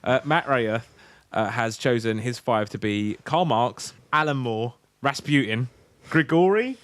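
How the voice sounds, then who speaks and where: A man's voice: medium pitch, measured pace, fairly bright tone, no echo. British male podcast co-host, tipsy, rambling and sweary, joking around, very dry studio-close sound. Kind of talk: casual comic banter.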